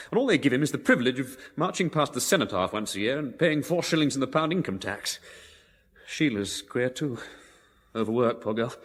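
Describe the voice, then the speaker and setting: An actor playing a nerve-shattered upper-class English ex-soldier, stammering and self-pitying, in a radio drama. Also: male voice, fairly high pitch, a bit bright, husky, heavily acted. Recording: reverberant hall-like room.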